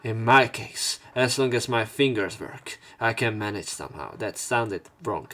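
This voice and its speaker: Low-pitched man narrating a game. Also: animated, measured pace, sharp tone. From Hungary. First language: Hungarian. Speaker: let's play narrator. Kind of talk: dramatic read-aloud narration and casual commentary. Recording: game music behind the voice.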